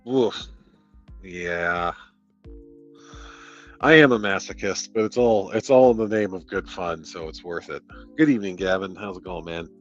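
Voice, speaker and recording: Sarcastic, swearing streamer, low-voiced man. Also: a little slow, pauses often, dull audio, faint music behind. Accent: Midwestern American. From Detroit area, United States. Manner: sarcastic, profane, laughing at the book. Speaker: streamer reviewing a novel. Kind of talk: casual book-review chat on a livestream.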